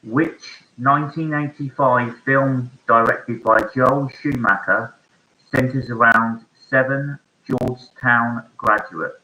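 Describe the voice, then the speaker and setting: A man hosting an online pub quiz, reading each question slowly and repeating it. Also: medium pitch, steady tone, pushed and bright. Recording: echoey room, dull lo-fi sound.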